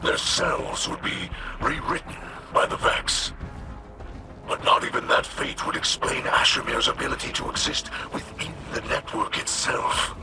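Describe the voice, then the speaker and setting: A man voicing a gentle alien leader in a video game, with a breathy, growly, rasping voice and formal, deliberate speech. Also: mid-range voice, expressive, music in the background, lightly acted. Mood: explanatory. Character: gentle, guilt-ridden male alien leader and scholar. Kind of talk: video game drama dialogue.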